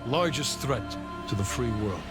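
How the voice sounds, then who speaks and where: A man's voice: medium pitch, lively intonation, unhurried, lightly acted. A man playing a serious intelligence briefer in a video game cutscene. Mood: grave. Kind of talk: cinematic video game dialogue. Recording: music behind the voice.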